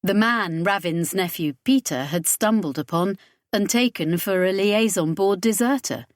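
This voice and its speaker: A woman's voice: medium pitch, unhurried, no room sound, clean studio sound. A woman reading science fiction as a scripted audiobook narration.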